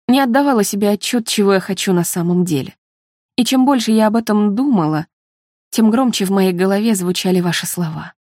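A woman's voice: mid-range voice, a little fast, a little mellow, dry recording, studio quality, close to an everyday voice. A woman narrator voicing an earnest, troubled woman who speaks confessionally in a novel.

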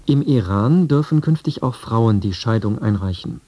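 Deep, mellow male radio newsreader. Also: animated, normal speed. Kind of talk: scripted news and weather bulletin.

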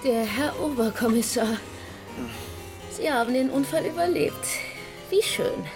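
An actress playing an uneasy, injured doctor in a radio drama, guarded, measured and hesitant. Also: female voice, high-pitched, animated. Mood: guarded, cool. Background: music.

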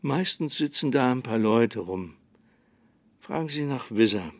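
An actor playing a calm, resigned small-town sheriff in a radio drama, speaking in a dry, measured manner. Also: male voice, mid-range voice, no room sound. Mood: laconic, guarded. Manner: dry, measured, hesitant hums.

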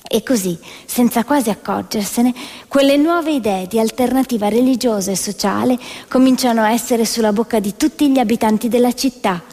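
High-voiced woman narrating in a reverberant hall. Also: mellow. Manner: declamatory, storytelling. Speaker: stage actress narrating. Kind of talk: scripted theatrical narration. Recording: reverberant hall with music behind. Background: music.

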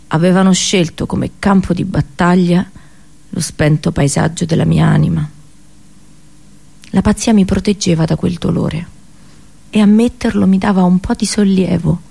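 A woman performing an intense theatrical radio monologue as an anguished, unheeded princess seer, in deliberate, broken phrases, in Italian radio drama style. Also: on the low side, gravelly, warm tone. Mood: dark, anguished. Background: music.

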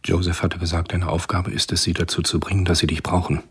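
An actor narrating a radio drama as a grave, detached storyteller in a measured, restrained manner. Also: male voice, deep voice, completely flat delivery, a bit bright, breathy edge, full character voice.

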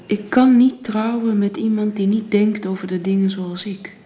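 An actress playing a devoted, earnest young woman in a radio drama, gentle, hushed and hesitant. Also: medium pitch, fairly even intonation, normal speed, lightly acted. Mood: earnest, troubled. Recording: dull radio drama recording, faint noise.

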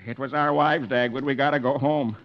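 A man's voice: medium pitch, fairly even intonation, brisk, slight background noise, natural voice. An announcer playing a cowardly, henpecked neighbor man who talks in a hesitant, mumbling way. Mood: nervous. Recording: dull old radio broadcast with music.

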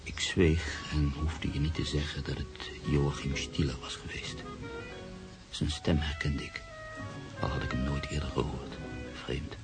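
A man's voice: rumbling low, little pitch movement, unhurried, loud music behind the voice, poor audio. An actor playing a brooding, cynical man in love who narrates a radio drama. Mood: brooding, reflective.

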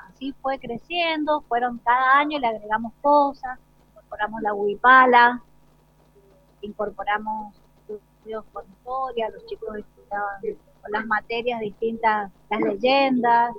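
A woman's voice: on the high side, no room sound. An Argentine woman speaking as a school director in a spontaneous interview, earnest and explanatory. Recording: dull lo-fi live radio recording.

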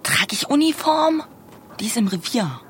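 A woman's voice: fairly high pitch, big pitch swings, normal speed, a little hiss or hum. A young woman playing a blunt, stubborn police trainee in a naturalistic radio drama, speaking curtly and dryly. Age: young adult. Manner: curt, dry, colloquial.

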